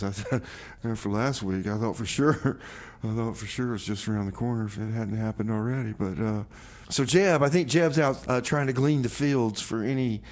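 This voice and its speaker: A man playing an easygoing, excitable radio host in a doomsday bunker, chatting casually in a rambling, folksy way. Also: fairly low pitch, natural voice. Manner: rambling, folksy, repeats himself. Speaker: community radio music show host. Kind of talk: casual chat with improvised comedy radio theater.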